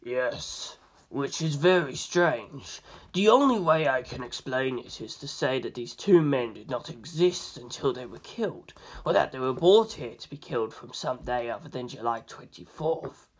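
A reader voicing a grave, matter-of-fact male investigator in a flat, deliberate manner for a story. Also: mid-range voice, pitched below the performer's own voice, close to an everyday voice, poor audio.

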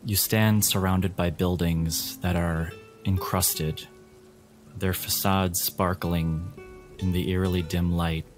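Male game master, very low register, ominous atmospheric narration over prominent music. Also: flat delivery. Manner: deliberate, dramatic, ominous storytelling. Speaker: game master of a role-playing podcast.

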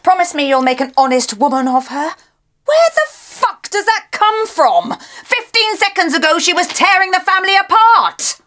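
A high-voiced, sarcastic diva actress. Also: female voice, big pitch swings, moderate pace, fairly bright tone, heavily acted. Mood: exasperated, ranting. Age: middle-aged. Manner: sarcastic, theatrical, haughty. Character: exasperated, foul-mouthed middle-aged soap actress mid-meltdown. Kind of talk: screen comedy acting as radio drama actress. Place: small radio recording studio.

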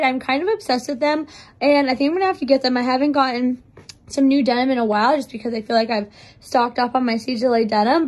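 A young woman with a slightly raspy voice from losing it, chatting bubbly to viewers in a try-on vlog, filmed on a phone in a mirror in a bright living room. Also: high voice. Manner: bubbly and chatty, addressing viewers as you guys. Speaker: clothing brand try-on host. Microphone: phone held up for a mirror selfie.

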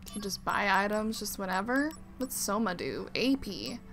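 A woman's voice: mid-range voice, lively intonation, normal speed, fairly bright tone, a little hiss or hum. A woman hosting a let's play, commenting casually on a game and reading on-screen text aloud.

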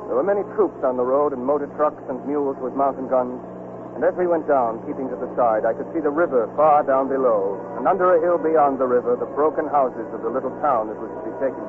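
An actor playing an earnest young lieutenant, speaking as lead and narrator in 1940s radio style. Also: male voice, mid-range voice, fast, background noise, natural voice. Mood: sober recounting. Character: earnest young lieutenant in love, recounting war. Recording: muffled 1940s radio broadcast with music.

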